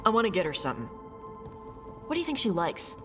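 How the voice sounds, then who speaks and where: Brash fire-mage warrior: blunt, gruff delivery, low register. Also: female voice, big pitch swings, normal speed, over music. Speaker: party companion. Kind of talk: video game cutscene dialogue.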